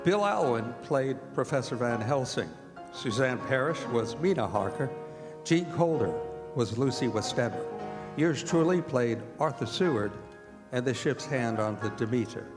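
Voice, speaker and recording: Animated male old-time radio announcer, echoey hall. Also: mid-range voice, normal speed, over music. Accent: American. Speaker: announcer and director of a radio theatre group. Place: performing arts center stage.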